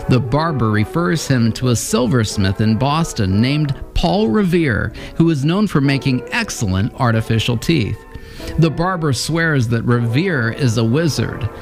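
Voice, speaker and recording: A man hosts with scripted narration about an old radio program. His voice is medium-pitched, with music in the background. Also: creaky. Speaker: channel host narrator.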